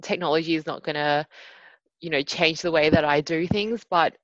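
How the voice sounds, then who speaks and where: Aussie woman, low voice, chatty with lots of you knows, dry dullish call audio. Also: normal speed, no echo, lo-fi sound. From Australia. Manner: chatty, many fillers like "you know". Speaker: podcast guest, hackathon organiser. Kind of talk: casual interview over video call. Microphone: video call.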